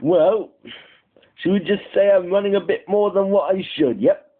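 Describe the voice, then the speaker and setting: A man's voice: high-pitched, moderate pace, phone-quality sound, pitched above the performer's own voice. A man voicing a cheeky mock farmer in a drawling, exaggerated English country accent for CB radio prank chatter. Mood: teasing.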